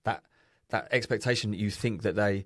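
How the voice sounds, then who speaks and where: A man hosting a podcast, with a fairly low voice. He is blunt and teasing and swears freely as he chats casually. The recording is dry and close on the mic. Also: a little fast, fairly bright tone, no echo. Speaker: podcast host. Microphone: studio podcast mic.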